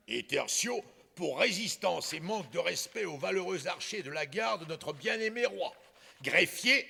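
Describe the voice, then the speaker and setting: A haughty cartoon magistrate, with a heavily creaky, sharp voice. He declaims with indignant self-importance. His pitch swings widely as he lays down the law. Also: full character voice. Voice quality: gravelly, husky. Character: pompous, indignant, half-deaf royal magistrate. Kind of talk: French cartoon dubbing. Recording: long hall reverb.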